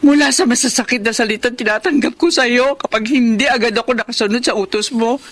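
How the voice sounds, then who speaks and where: An actress playing a wounded, long-suffering wife in a Filipino radio drama, proud, emotional and accusing. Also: female voice, a little high, fairly quick, natural voice. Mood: hurt, reproachful.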